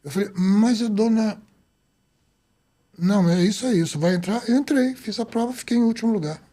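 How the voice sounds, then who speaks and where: A man in his seventies from the Azores, a doctor telling his story in an interview, lively and acting out the dialogue he recalls. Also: high voice, brisk. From Azores, Portugal. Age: 70s. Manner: lively, acts out quoted dialogue. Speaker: doctor guest.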